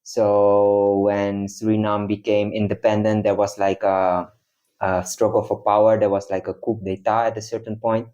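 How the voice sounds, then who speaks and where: A man's voice: lowish voice, completely flat delivery, moderate pace, warm tone, muffled recording. A young man from the Netherlands, a guest in casual chat, explaining at length with many hesitations.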